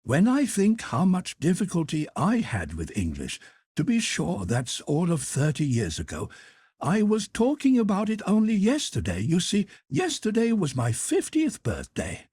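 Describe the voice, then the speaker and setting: A man voicing an eager, motherly fifty-year-old woman who runs a hotel kitchen, effusive and pressing, with a husky edge. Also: high voice, huge pitch swings, measured pace, a little mellow, dry recording, pitched above the performer's own voice, exaggerated voice. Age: 50s.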